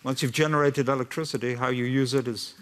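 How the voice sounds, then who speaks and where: Brisk male panel moderator. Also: medium pitch, fairly even intonation, fairly bright tone. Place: conference hall.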